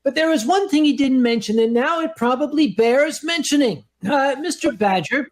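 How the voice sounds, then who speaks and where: A man on a live comedy panel telling a story in a dry, deadpan way. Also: very high voice, moderate pace.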